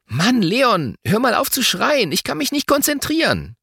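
A man puts on an excitable young boy from a storybook. He is irritable, scolding and urgent. The voice sits high and swings widely. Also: normal speed, vocal fry, breathy edge, dry recording, clean studio sound, heavily acted. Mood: annoyed.

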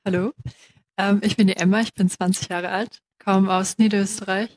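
A woman in her twenties from Lower Austria with an Austrian accent, a student guest speaking earnestly and hesitantly, with fillers. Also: little pitch movement, moderate pace. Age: 20s.

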